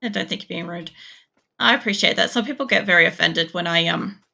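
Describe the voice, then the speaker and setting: A woman's voice: on the low side, brisk, vocal fry, pushed and bright. A young Australian woman with an Australian accent streaming a game, chatting casually with viewers, rambling with lots of fillers and swearing.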